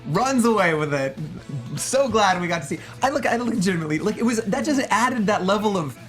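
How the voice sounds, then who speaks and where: Animated guy joking on a panel. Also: high-pitched, brisk, soft background music. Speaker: co-host of a film review show. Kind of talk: casual panel chat.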